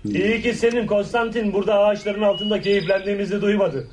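Man playing a brisk high-pitched teasing villager. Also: fairly even intonation, a little mellow, slight background noise, natural voice. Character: teasing Greek villager, drinking companion roasting lamb. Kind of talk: radio drama acting.

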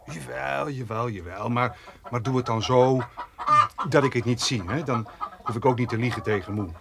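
An actor playing an exasperated but soft-hearted farmer in a radio play, flustered and stammering over repeated words. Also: male voice, mid-range voice, normal speed, gravelly, natural voice. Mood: uneasy, grumbling. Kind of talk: Dutch radio play acting. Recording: noisy radio play recording.

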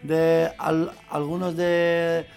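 A man hosts a radio interview in a gravelly voice pitched a little high. He stumbles through his questions with plenty of fillers and restarts. Faint music sits behind him. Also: level tone, extremely slow, a little mellow.

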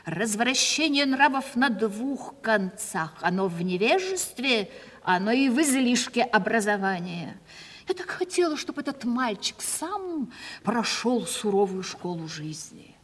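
An actress in a radio play voicing an aging provincial gentlewoman, gracious and self-justifying, with theatrical, affected, effusive delivery. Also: on the high side, big pitch swings, unhurried, breathy edge, exaggerated voice. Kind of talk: classic Russian stage comedy radio acting. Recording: 1970s radio play, reverberant hall.

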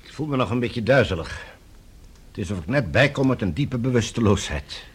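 An actor playing a courteous, weary general in a radio drama, formal and gentlemanly. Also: male voice, medium pitch, expressive, fairly quick, breathy edge. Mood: dazed. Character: courteous, weary male general recovering his senses.

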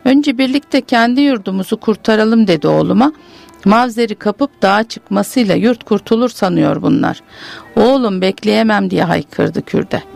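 A woman reading as a bitter, gravelly old widow. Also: mid-range voice, a little mellow. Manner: grim, brooding monologue. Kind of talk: literary reading.

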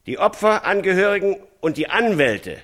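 An actor playing a newspaper reporter who gives a careful, solemn eyewitness account in a radio drama. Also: male voice, sits high, very animated, moderate pace, a bit bright, exaggerated voice.

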